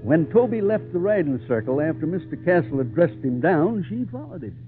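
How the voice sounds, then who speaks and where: A man's voice: fairly high pitch, very animated, fairly quick. A male actor playing a storyteller who narrates an adventure tale in old radio drama style. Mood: narrating. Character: male storyteller recounting circus adventure to a boy. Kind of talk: dramatic narration, 1940s-50s radio acting. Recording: tinny old radio recording with music.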